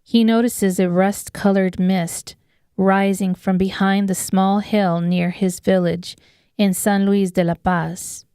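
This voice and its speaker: A woman's voice: medium pitch, flat delivery, slow pace, mellow, clean tone, dry recording, clean studio sound. A woman reading scripted narration of an apocalyptic horror audiobook. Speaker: author narrating her audiobook.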